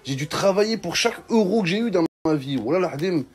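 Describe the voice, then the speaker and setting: A man's voice: sits high, expressive, quick pace. A man telling comic stories in casual street slang, in an exclamatory, mock-indignant way. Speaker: online comedian. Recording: clearly noisy background.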